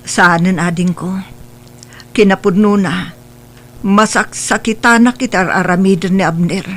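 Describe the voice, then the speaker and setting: A man's voice: high-pitched, steady tone, a little slow. A man playing a weary grown son. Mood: earnest. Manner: soft, tired, subdued. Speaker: son in a family drama.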